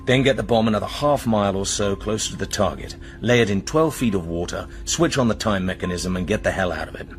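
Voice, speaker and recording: A man's voice: lowish voice, animated, quick pace, few pauses, faint music behind, close to an everyday voice. A British man playing a cool, decisive commander and secret agent in a radio drama, speaking with composed, precise authority. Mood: analytical.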